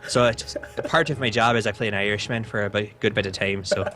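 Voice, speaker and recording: A man's voice: fairly low pitch, steady tone, fast talker, sharp tone, slight background noise. A young man from Hawaii, talking casually as an interview guest, easygoing with frequent "uh" and "um". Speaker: interview guest, esports caster.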